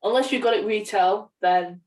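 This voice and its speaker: A woman's voice: mid-range voice, normal speed. A woman co-hosting a podcast, chatting casually about games, recorded at some distance from the microphone. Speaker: podcast co-host. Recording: distant from the mic.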